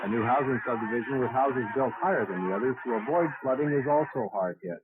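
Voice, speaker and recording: A man's voice: medium pitch, fast talker. A man delivering a scripted news report as a reporter. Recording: tinny archival news audio, clearly noisy.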